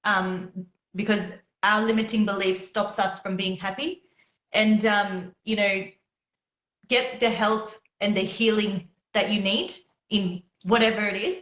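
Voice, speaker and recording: A woman's voice: medium pitch, a bit bright, roomy sound, low-quality recording. A woman whose first language is Gujarati, chatting as a guest on a live video call, talking in an earnest, encouraging way and laughing often. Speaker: guest singer. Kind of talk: casual live video chat.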